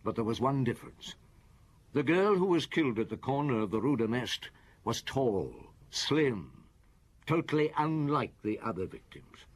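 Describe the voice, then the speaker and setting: An actor playing a weary, relentless middle-aged chief inspector in a radio detective drama, speaking formally and deliberately. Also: male voice, mid-range voice, sing-song, slightly rough. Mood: probing, accusing.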